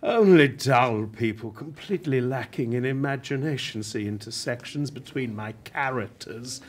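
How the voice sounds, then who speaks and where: A man's voice: mid-range voice, close to an everyday voice. An actor playing a dying elderly male TV writer in a radio play, bitter and guarded, speaking through pain. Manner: bitter, guarded, slow and deliberate.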